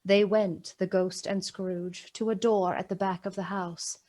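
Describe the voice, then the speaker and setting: A woman narrating a literary radio play in a measured, dramatic and deliberate way. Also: medium pitch, flat delivery, mellow, natural voice.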